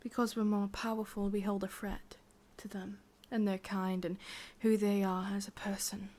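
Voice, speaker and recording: A woman with an English accent voicing a possessive, sadistic witch in a slow, breathy ASMR roleplay whisper. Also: mid-range voice, level tone, darkish tone, natural voice. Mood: bitter, cold. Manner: slow, intimate, teasing. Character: sadistic, possessive witch, softly menacing and doting.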